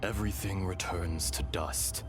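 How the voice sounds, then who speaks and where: An actor voicing a benevolent, ancient dragon spirit in a game, speaking in a grave and measured storytelling manner. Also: male voice, deep voice, steady tone, loud music behind the voice. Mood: grave, sorrowful. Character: ancient dragon's benevolent remnant, solemn, patient male spirit. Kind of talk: dramatic game dialogue, storytelling.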